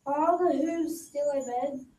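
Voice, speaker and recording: High, slow young storybook reader. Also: dark tone. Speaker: readers theater performer. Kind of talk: storybook read-aloud. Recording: echoey room, distant mic, faint noise.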